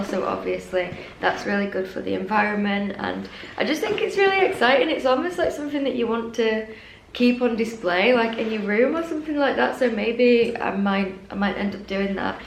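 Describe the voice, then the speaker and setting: A young woman talks through an unboxing with chatty enthusiasm. Her voice is croaky and gravelly, as it is early in the morning. The sound has a roomy echo from her living room. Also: a little high, slight background noise. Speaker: fashion vlogger. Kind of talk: casual unboxing vlog.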